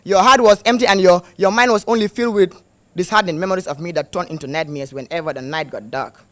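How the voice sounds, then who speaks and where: A man's voice: high-pitched, fast, fairly bright tone, no room sound. A man performing spoken word poetry at a slam, pleading and intense, leaning on repeated emphasis. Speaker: slam poet.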